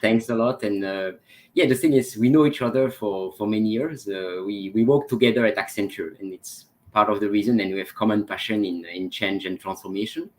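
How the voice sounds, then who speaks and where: A man's voice: on the low side, fairly quick, a little mellow. Belgian man in his forties, hesitant. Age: 40s. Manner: hesitant, many fillers and restarts. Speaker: guest, transformation coach. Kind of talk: casual interview talk.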